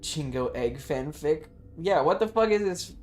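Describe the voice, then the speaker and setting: Animated, sweary game streamer. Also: mid-range voice, measured pace. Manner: sweary, playfully bratty. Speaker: live streamer. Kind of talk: casual game stream commentary. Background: faint game music.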